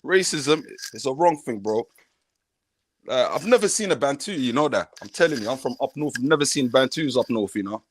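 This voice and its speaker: A man's voice: medium pitch, expressive, brisk. A man from northern Somalia, hosting a live panel debate, talking in street slang and repeating himself to drive a point home. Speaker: podcast host.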